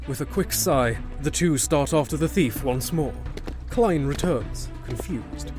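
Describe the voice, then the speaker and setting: A man's voice: fairly high pitch, animated, unhurried. A man narrating a scripted fantasy audio drama, with music underneath.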